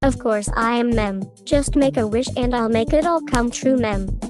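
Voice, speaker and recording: A woman voicing a cute chirpy yellow dragon. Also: a little high, normal speed, natural voice. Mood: cheerful. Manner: sing-song, ends lines with a chirp. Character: cute small male yellow dragon, cheerful. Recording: voice over loud music.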